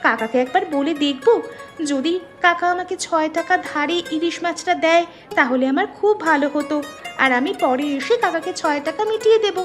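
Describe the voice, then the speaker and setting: Very high-pitched, earnest young village daughter, acted by a woman. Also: fairly quick. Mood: pleading. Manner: pleading, earnest. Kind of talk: Bengali cartoon fairy-tale dubbing. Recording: music under the voice.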